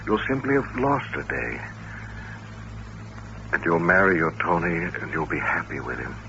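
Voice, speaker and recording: A man's voice: deep voice, lively intonation, moderate pace, sharp tone, full character voice. Lonely brooding mutant man w/ mind powers, old radio play, bossy and intense, muffled hissy sound. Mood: resigned, commanding. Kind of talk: old-time radio drama acting. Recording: noisy, band-limited vintage radio recording.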